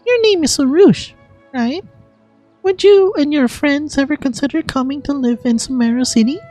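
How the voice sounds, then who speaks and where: A performer putting on a gentle, high-voiced young girl deity. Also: moderate pace, no room sound, soft background music, lightly acted.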